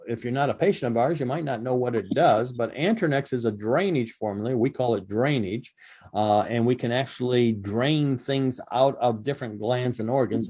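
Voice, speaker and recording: A man's voice: mid-range voice, warm tone, slightly rough. A man co-hosting a casual radio health talk show, rambling with lots of fillers and restarts. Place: radio studio.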